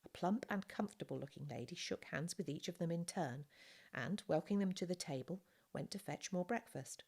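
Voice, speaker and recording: A woman with a low-pitched voice narrates a children's audiobook. Her delivery is scripted and expressive, in the manner of a story read aloud. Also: a little fast, creaky, breathy edge. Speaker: audiobook reader.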